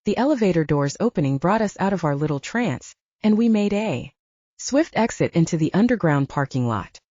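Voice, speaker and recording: A woman's voice: fairly low pitch, animated, moderate pace, mellow, dry recording, highs cut off. A woman reading a first-person audiobook story as its narrator. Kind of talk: first-person fiction narration with acted dialogue.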